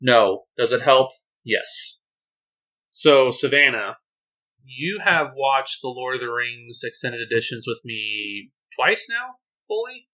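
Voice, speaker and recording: A male podcast host with a bright, forward voice at a middling pitch. He chats casually and explains things in an encouraging, agreeable way. The recording is a little dull and lo-fi. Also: big pitch swings, slow. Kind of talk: casual explanatory chat about a tabletop game.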